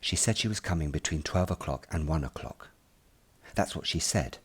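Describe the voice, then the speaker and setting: A man as an anxious schoolboy, husky, animated. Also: rumbling low, normal speed, full character voice. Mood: anxious waiting. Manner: earnest, fretful, expressive storytelling. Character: anxious, earnest ten-year-old English schoolboy narrating his worries. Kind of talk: first-person audiobook narration in present tense.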